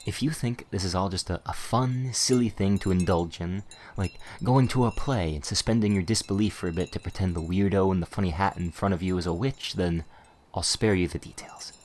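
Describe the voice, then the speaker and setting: A man's voice: low voice, a little fast, darkish tone, slight background noise, close to an everyday voice. A man playing a talkative, easily flustered male witch shopkeeper in an ASMR roleplay, rambling and stammering as he eagerly explains things. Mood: earnest, wry.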